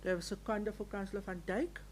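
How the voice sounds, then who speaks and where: A woman's voice: mid-range voice, steady tone, very fast, darkish tone, a little hiss or hum. A woman chairing a council meeting, courteous and procedural. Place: council chamber.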